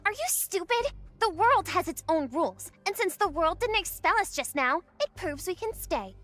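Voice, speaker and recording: A woman's voice: very high voice, animated, normal speed, a bit bright, faint music behind. A woman voicing a defiant, blunt young female rebel in an anime-style game, bold and challenging. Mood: scornful.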